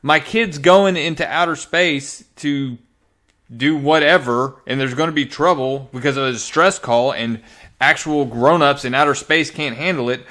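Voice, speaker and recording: A man's voice: a little high, moderate pace, sharp tone. A man voicing a flippant, blasé mother of a superhero teen in a mocking comic review.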